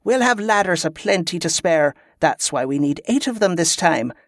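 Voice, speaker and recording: A man's voice: high-pitched, animated, moderate pace, dry recording, studio quality. A man voicing a stern, scornful female military commander who gives clipped orders in inverted dialect phrasing, in a fantasy audiobook. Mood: scornful, instructing.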